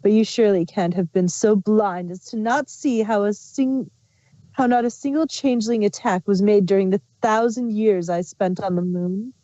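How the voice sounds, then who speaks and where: A woman's voice: medium pitch, normal speed, mellow, close to an everyday voice. A woman playing a sly, wistful changeling queen villain in a fan fiction reading. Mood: smug. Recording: muffled, roomy home recording.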